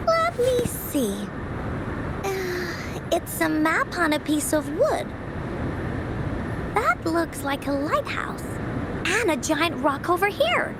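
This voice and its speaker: A woman voicing a cheerful, excitable little girl adventurer in a video game, playful and bubbly. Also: extremely high pitch, slow pace, long pauses, very noisy, full character voice. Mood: curious, excited. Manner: refers to self in third person, bubbly. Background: music.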